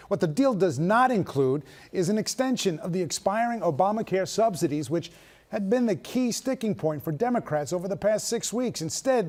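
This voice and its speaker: An American man with a notably high voice for a male anchor. He reads scripted television news. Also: darkish tone.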